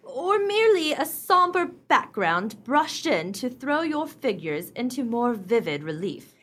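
Snooty proper matron, radio play, pompous, kinda high, really animated pitch. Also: female voice, a little slow, heavily acted. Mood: lofty, self-satisfied. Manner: pompous, emphatic, formal. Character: self-important, exacting society matron, proper and pompous.

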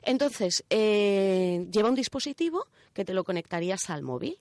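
Female radio presenter, Spain accent, mid-pitched, colloquial explainer who keeps checking in, dry studio-style sound. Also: sing-song, moderate pace, darkish tone, no room sound. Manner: colloquial, checks in with listeners using short tag questions. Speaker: radio show presenter of a science segment. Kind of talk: casual explanatory radio chat.

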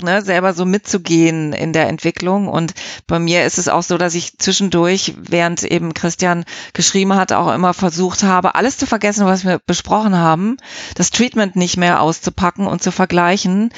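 A woman's voice: lowish voice, dry recording, highs cut off. A woman who works as an editor, speaking emphatically and conversationally as an interview guest. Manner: emphatic, self-described emotional type.

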